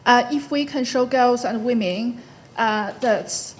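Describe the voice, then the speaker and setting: A woman from China, answering spontaneously as a panel guest and speaking thoughtfully in short phrases with frequent pauses. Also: a little high, a little hiss or hum. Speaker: panel guest, tech founder.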